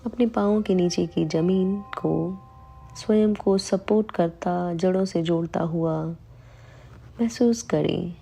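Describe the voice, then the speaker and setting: A woman leading a guided meditation, calm and soothing, giving unhurried instructions with long pauses between phrases. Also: lowish voice, gravelly, slightly rough. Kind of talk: slow guided meditation in Hindi.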